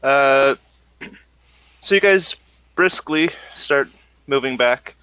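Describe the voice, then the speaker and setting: A man casually narrating a tabletop roleplaying game as game master, halting and thinking aloud in an informal way. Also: mid-range voice, pushed and bright, clean tone, no echo, rough recording.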